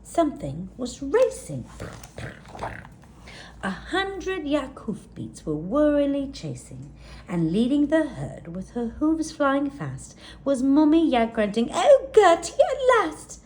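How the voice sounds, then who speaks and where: A woman narrates a children's story at an unhurried pace. Her voice is fairly high and mellow, with expressive rises and falls suited to storytime. There is faint noise behind her. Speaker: storytime reader. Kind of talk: expressive children's read-aloud, scripted narration.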